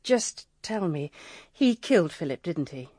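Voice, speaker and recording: An actress playing a grieving, guilt-ridden widow in a radio drama, speaking in a halting, sorrowful, hushed manner. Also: female voice, lowish voice, big pitch swings. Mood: numb grief.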